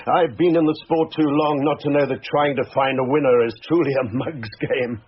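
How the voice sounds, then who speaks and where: A man's voice: on the high side, steady tone, moderate pace, breathy edge. An actor playing a disdainful upper-class English brigadier, patronising and clipped in his politeness, in a radio crime drama. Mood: worldly disdain. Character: haughty, disdainful upper-class brigadier policing horse racing. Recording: dull, faintly noisy radio recording.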